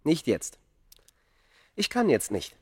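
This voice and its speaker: A man's voice: on the high side, wildly swooping pitch, takes it slowly, a little mellow, husky, exaggerated voice. A man playing a guarded, tense scholar in an ancient Egyptian radio drama, evasive and hesitant, with formal diction. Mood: uneasy. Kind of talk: radio play acting.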